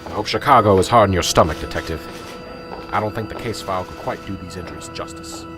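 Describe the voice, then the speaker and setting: A man's voice: deep voice, fast talker, music in the background, natural voice. A man acting in a radio drama as a flustered small-town police sergeant, apologetic, hesitant and eager to please.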